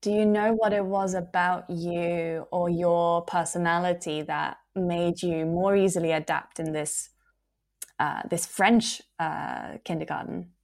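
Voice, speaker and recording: A woman hosting a conversational interview, introducing the topic and asking her guest friendly, measured questions. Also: fairly low pitch, fairly even intonation. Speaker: podcast host.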